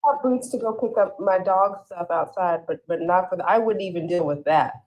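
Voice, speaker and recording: A woman's voice: lowish voice, fairly quick, dull audio. A woman on a casual news chat talking in an earnest, questioning way.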